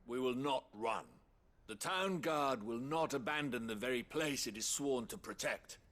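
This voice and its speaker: Slow, resolute guard commander. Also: male voice, medium pitch, animated, a bit bright. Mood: stern, resolute. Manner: firm, declarative. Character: proud, dutiful adult male town guard commander. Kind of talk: dramatic video game dialogue.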